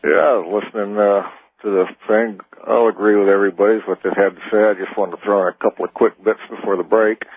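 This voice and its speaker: An American man calling a radio show, talking casually in a husky voice over a tinny, narrow telephone line. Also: fairly low pitch, fairly even intonation, quick pace, dry recording. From California, United States. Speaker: radio call-in caller. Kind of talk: casual chat.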